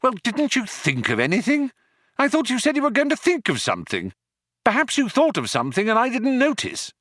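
A man's voice: very high-pitched, huge pitch swings, a little fast, fairly bright tone, no room sound, studio quality, pitched above the performer's own voice, exaggerated voice. A man voicing an exasperated, bewildered human man in an audiobook story, plaintive, indignant and sarcastic.

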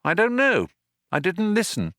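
Guy doing a bewildered sarcastic everyman in a storybook, plaintive, high and really swoopy. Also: wildly swooping pitch, normal speed, fairly bright tone, no room sound, studio quality, heavily acted. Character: exasperated, bewildered human man, plaintive and sarcastic.

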